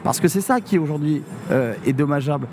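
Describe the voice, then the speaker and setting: A man's voice: a little high, brisk, darkish tone, a little hiss or hum. A man, a district mayor from Normandy, answering in a radio interview, hesitant with many fillers but firmly emphatic. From Normandy, France.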